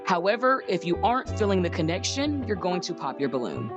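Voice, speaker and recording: A woman's voice: fairly low pitch, very animated, brisk, dull audio, music in the background. A woman hosting an unscripted dating show, asking contestants questions. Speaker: host.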